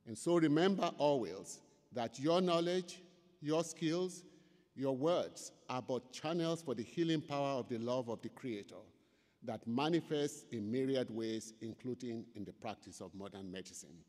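Older man, Yoruba accent, slow solemn invocation, lectern mic, long hall reverb. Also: mid-range voice, animated, a little mellow. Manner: solemn, measured, chanting in places. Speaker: invocation speaker. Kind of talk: ceremonial invocation and prayer. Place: large auditorium stage at a lectern. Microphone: lectern microphone.

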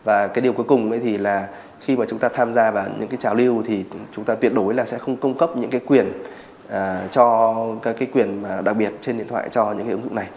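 A man in his thirties explaining as an expert in an interview, pausing on filler words. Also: lowish voice, fairly even intonation, darkish tone, slight background noise.

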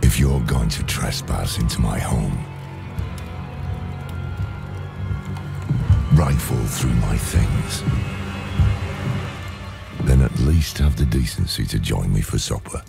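A man playing a gruff, world-weary old hermit scholar with a dry wit, in a dramatic video game cutscene. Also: full character voice. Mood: sardonic, grumbling. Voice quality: very deep, gravelly. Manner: slow, weighty, sardonic. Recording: loud music behind the voice.

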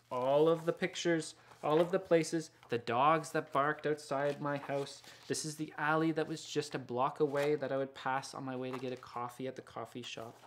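A man in his thirties talking informally as an author about his work, soft-spoken, reflective and a little halting. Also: on the high side, slight background noise. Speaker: children's picture book author and illustrator. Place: small indoor studio lined with books and records.

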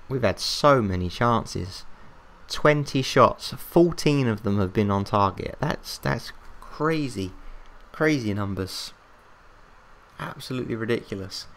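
An English man commentating on a football management game in casual chat, excitable and rambling, his voice getting worn out. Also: mid-range voice. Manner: excitable, rambling, talks to himself. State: losing his voice, coughing.

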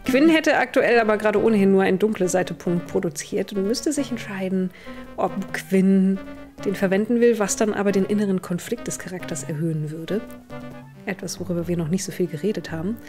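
A woman's voice: mid-range voice, fairly quick, darkish tone, soft background music. A woman in her thirties narrating a tabletop game as game master.

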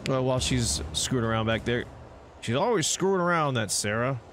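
A man's voice: mid-range voice, expressive, fairly quick, fairly bright tone, slight background noise. A man giving casual let's play commentary, chatting away with joking asides to the game's characters. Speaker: gameplay host. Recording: game music behind the voice. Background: video game music and action sounds.

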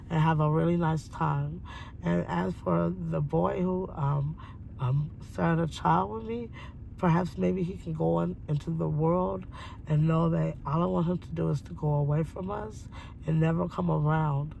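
A woman in her forties talking at length from a parked car, meandering with many ums and pauses. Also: low voice, vocal fry, mellow, a little hiss or hum, low-quality recording. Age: 40s. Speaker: interviewee. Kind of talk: rambling personal monologue. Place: parked car by a window, daytime.

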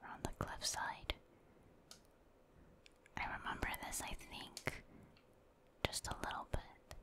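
A woman doing ASMR commentary over a video game playthrough, whispering softly and musing aloud in an unhurried way. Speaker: gaming streamer.

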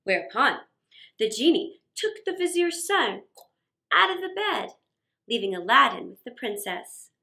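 A middle-aged woman reading a story aloud for children, expressive, with theatrical pauses and emphasis. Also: high-pitched, gravelly. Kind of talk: dramatic read-aloud story narration for children. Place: small indoor set.

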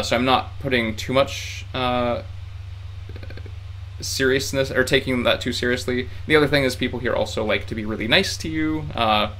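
A man's voice: lowish voice, vocal fry, fairly bright tone, low-quality recording. A man in his thirties chatting casually to camera in a small room, rambling with lots of fillers and restarts. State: tired from heat. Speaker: vlogger learning Japanese.

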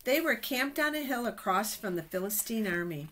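A middle-aged woman reading Bible stories aloud to children in a deliberate, expressive way. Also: fairly high pitch, a little hiss or hum. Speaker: children's storyteller at a church. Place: small office indoors. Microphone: webcam at desk distance.

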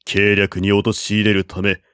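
A man voicing a calm, shrewd male strategist who counsels in a measured, persuading way, in a storybook reading. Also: fairly low pitch, clear, resonant tone, dry recording.

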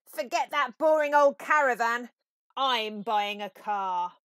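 A woman voicing a boastful, reckless gentleman toad from a children's storybook, exclaiming theatrically. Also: on the high side, expressive, slow, clean tone, dry recording, clean studio sound. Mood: excited, breezy.

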